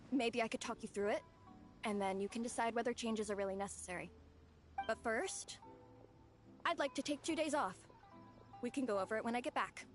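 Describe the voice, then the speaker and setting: A woman's voice: fairly high pitch, close to an everyday voice. An actress playing an overworked young woman artist, exasperated and ranting at her boss. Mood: hesitant. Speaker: overworked employee. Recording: loud game music behind.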